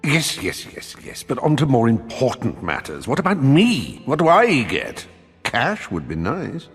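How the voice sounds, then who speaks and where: An actor playing a vain, selfish, decadent gentleman rogue in a fantasy game, pompous, theatrical and sardonic. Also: medium pitch, huge pitch swings, unhurried, breathy edge, full character voice. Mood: self-absorbed, impatient. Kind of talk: comic fantasy game acting. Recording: long reverb, music behind.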